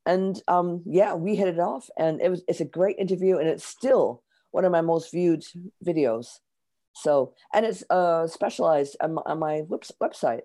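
A hoarse, middle-aged American woman answers interview questions in a mellow, warm tone. She explains things in a chatty way, pausing and restarting as she thinks. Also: on the low side. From Michigan, USA. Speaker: guest author.

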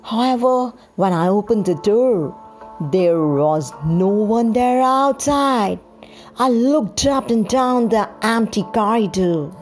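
A woman reading a scripted first-person story in a deliberate, dramatized storytelling manner. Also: medium pitch, expressive, darkish tone, soft background music. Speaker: storyteller, podcast host.

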